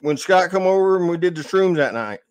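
Blunt, higher-pitched man chatting. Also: lively intonation, brisk, darkish tone. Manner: blunt, profane, repeats words. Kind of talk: casual chat.

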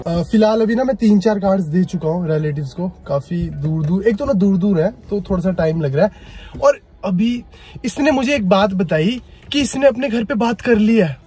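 A man's voice: high-pitched, animated, normal speed, dark tone, faint music behind. A young man vlogging from inside a car, chatty and teasing, filmed on a phone held at arm's length. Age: young adult. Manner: chatty and teasing, talks with his hands. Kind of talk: casual vlog chat. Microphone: phone camera at arm's length.

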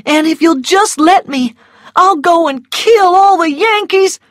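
An eager, hot-headed boy from a storybook, put on by a female narrator. The voice is pushed high and insistent, in a dry recording. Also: a little slow, fairly bright tone, dry recording. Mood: eager, defiant.

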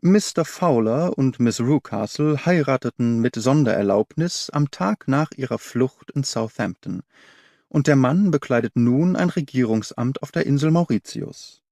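Male narrator for literary audiobook, medium pitch, dry studio-style sound, scripted reading. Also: expressive, unhurried, dark tone, no room sound.

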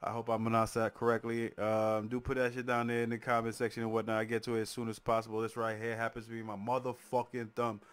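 A man's voice: medium pitch, completely flat delivery, fast, hardly any pauses, smooth. A man in his forties from Haiti, talking casually into a studio mic at home as a music reaction host, hyped and swearing freely, with a rap track playing behind him. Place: small home studio indoors. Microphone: large studio mic on boom arm, wearing headphones.

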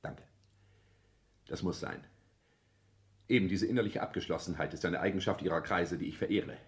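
An actor playing a polished, calculating social climber in a 1960s German radio play, with formal, rhetorical speech. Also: male voice, low voice, normal speed, natural voice. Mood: smoothly deferential.

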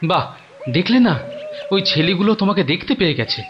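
A man's voice: high-pitched, expressive, fast, dull audio, a little hiss or hum. A man voicing an elderly, fatherly cartoon heron, cautioning and advising with patient concern. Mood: worried, advising. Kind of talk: children's cartoon dubbing. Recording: music behind the voice.

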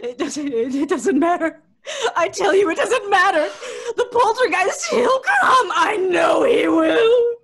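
A woman's voice: very high-pitched, big pitch swings, unhurried, a bit bright, raised voice, full character voice. A woman playing a hysterical, superstitious young woman in mortal terror, wailing and stammering in old-time radio horror style. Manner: wailing, stammering, repeats herself frantically.

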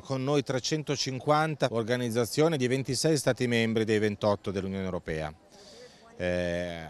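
Middle-aged man, campaign coordinator, earnest on-camera interview, close handheld mic, at a fair stand. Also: medium pitch. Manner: earnest, explanatory, with hesitant fillers. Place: indoor stand at a busy food fair. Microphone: handheld mic close below the face.

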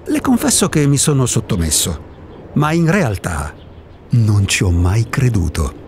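A man's voice: medium pitch, big pitch swings, a little slow, a little hiss or hum. An actor playing an elderly reclusive male ghost in a radio drama, a wry and contrarian philosopher with a theatrical, emphatic delivery. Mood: insistent.

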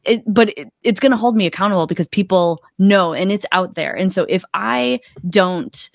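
A woman around her thirties or forties, a coach, speaking casually and a little haltingly as an interview guest on a close microphone. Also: medium pitch, gravelly, no echo. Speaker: podcast guest, accountability coach. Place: small indoor room, video call. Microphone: close desk microphone.

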